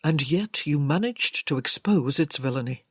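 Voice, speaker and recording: Woman voicing an urbane, wry gentleman. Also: sits low, lively intonation, normal speed, slightly rough, no echo, studio quality. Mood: amused admiration.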